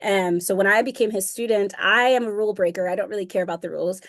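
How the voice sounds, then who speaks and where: A woman's voice: mid-range voice, steady tone, fast talker, fairly bright tone. Chatty young woman with lots of likes. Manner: chatty, fillers of um and like, laughs often. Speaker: interview guest, singer. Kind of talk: casual interview chat.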